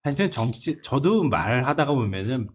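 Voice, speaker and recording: A man from Gangwon Province, South Korea, weighing in as a political commentator on a talk panel. His voice sits at a middle pitch but rises and falls expressively as he makes emphatic, measured points. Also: very animated, slightly rough.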